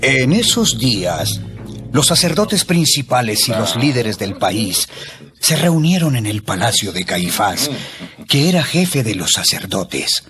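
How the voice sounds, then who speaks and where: A man narrating a dramatized Bible reading with expressive storytelling. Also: medium pitch, measured pace. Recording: music bed throughout.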